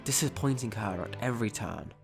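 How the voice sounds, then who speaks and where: A man's voice: lowish voice, expressive, fairly quick, gravelly. A man narrating a scripted, dramatic action story. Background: music.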